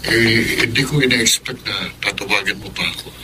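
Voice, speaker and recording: An actor playing a defensive, irritated young ex-boyfriend, curt, in a Filipino radio drama. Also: male voice, lowish voice, moderate pace, sharp tone, slight background noise, low-quality recording.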